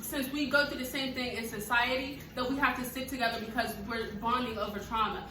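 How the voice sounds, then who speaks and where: High, bright woman, slightly off-mic. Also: steady tone, fairly quick, far from the mic. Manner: earnest, explaining at length. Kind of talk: casual group discussion. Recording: echoey, a bit off-mic.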